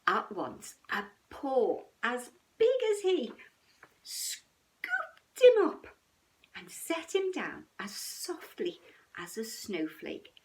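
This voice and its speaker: A woman reading a children's bedtime story expressively, dramatic and at times hushed. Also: on the high side, wildly swooping pitch, very slow, distant mic.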